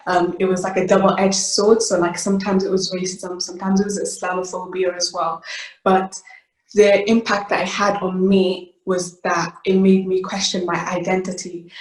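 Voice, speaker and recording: A woman's voice: lowish voice, reverberant, rough recording. An eighteen-year-old woman, giving an earnest personal talk with many fillers and restarts. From Wales. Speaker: youth ambassador. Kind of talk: personal talk to an online event.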